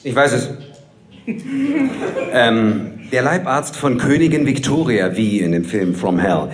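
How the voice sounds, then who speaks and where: A man's voice: mid-range voice, a little slow, crowd noise, close to an everyday voice. A man playing a young student, an eager and cheeky best friend who likes to theorise, in an audio drama.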